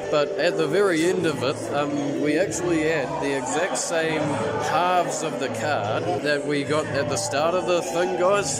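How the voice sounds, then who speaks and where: Excited young man vlogging with a cold. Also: fairly high pitch. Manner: excited, repeats "guys" constantly. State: voice a bit off from a cold. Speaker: travel vlogger. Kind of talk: casual vlog narration. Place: casino lobby, then outdoors on a busy city street at night. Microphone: handheld phone camera at arm's length.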